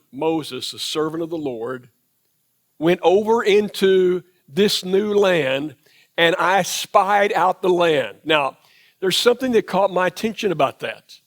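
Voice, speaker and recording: A man with a Southern US accent preaching a sermon in church, reflective and retelling scripture in his own words. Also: a little high. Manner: reflective, paraphrases scripture in first person. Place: church sanctuary.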